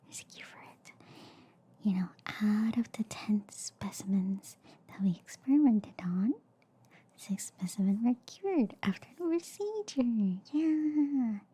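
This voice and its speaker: Softly whispering alien girl doctor, played by a woman. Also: on the high side, expressive, unhurried, heavily acted. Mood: gently explaining. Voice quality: soft, breathy whisper. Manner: soothing, hesitant, repeats reassurances softly. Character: friendly alien girl doctor, reassuring, slightly shady. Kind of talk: whispered ASMR roleplay, soft talking. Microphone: close ASMR microphone. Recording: faint background noise, close-up ASMR.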